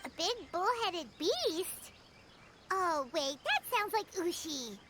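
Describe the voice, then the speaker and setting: Very squeaky little fairy sidekick. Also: female voice, sing-song, slow, heavy background noise, heavily acted. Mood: curious. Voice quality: squeaky, childlike. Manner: speaks of herself in third person, exclamatory. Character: tiny excitable childlike fairy companion, chatty and squeaky. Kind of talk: video game cutscene acting. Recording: game music behind the voice.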